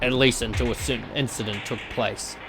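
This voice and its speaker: A man's voice: medium pitch, fairly even intonation, a little fast, gravelly. A man reading scripted narration as the announcer and narrator of a visual novel.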